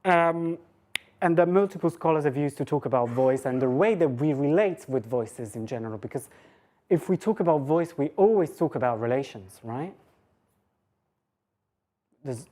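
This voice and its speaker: A woman with a low voice delivers an academic talk in a conversational register. She thinks aloud as she goes, hesitating, interrupting herself and posing rhetorical questions. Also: lively intonation, normal speed, warm tone. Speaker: lecturer giving a talk. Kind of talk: academic lecture, informal and conversational.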